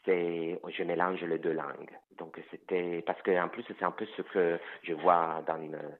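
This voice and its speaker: Halting man on a tinny line. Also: deep voice, fairly even intonation. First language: Italian. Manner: hesitant, frequent fillers and restarts. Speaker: author guest. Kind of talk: interview answers about his novel.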